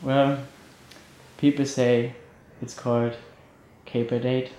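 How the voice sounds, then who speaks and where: A man reflecting in a casual interview, halting and earnest. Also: medium pitch, little pitch movement, mellow, slight background noise. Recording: roomy, faint guitar music behind.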